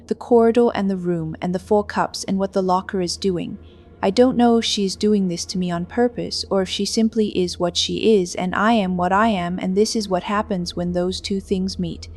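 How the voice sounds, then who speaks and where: A woman narrating a scripted first-person horror story, reading the prose and dialogue. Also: mid-range voice.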